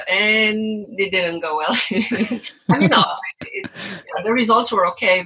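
Husky, bright-toned Romanian-accented woman. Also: on the low side, low-quality recording. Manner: hesitant, many fillers and restarts, light laughs. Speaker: guest. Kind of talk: casual podcast interview.

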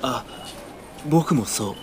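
An actor playing an awkward, gentle young man in a radio drama, halting and reserved, with quiet resolve. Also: mid-range voice, expressive, noisy background. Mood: shy, awkward. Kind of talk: Japanese radio drama acting. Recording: music behind the voice.

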